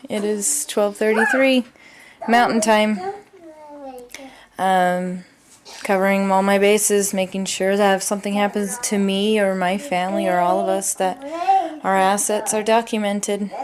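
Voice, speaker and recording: Flat-voiced woman, background voices behind. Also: mid-range voice, unhurried, crowd noise. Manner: flat, matter-of-fact. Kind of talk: informal spoken record to camera.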